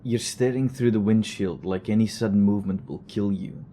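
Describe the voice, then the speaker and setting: Low, mellow, wry male investigator played by an actor. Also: moderate pace, slight background noise, natural voice. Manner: dry, sardonic, rambling. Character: weary, wry male investigator recording a road-trip log. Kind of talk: audio drama, conversational recorded log.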